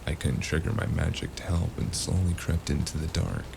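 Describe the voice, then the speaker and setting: A man playing a weary, wry noir detective in an audio drama, speaking tersely with a gravelly voice. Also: very deep voice, little pitch movement, normal speed, noisy background, full character voice. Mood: tense, grim. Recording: music under the voice.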